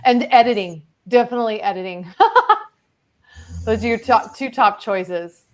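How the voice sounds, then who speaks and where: A woman's voice: a little high, measured pace. A woman hosting an interview, enthusiastic and affirming, with many "mm-hmm"s. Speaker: interview host. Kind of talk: casual interview.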